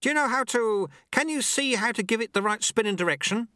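A man voicing a bossy, urgent old monk in an audiobook, barking orders in a commanding, shouting manner. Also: extremely high pitch, expressive, fairly quick, dry recording, clean studio sound, pitched above the performer's own voice, heavily acted. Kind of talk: audiobook character voice.

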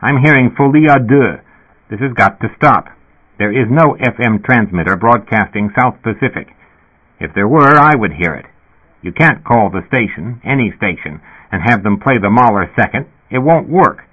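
A man's voice: mid-range voice, lively intonation, moderate pace, husky, muffled recording. Guy doing a fed up blunt cop for an audiobook, argues a lot, thin radio-ish sound. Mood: exasperated. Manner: blunt, incredulous, argumentative. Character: exasperated male policeman, blunt and profane. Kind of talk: audiobook character voice.

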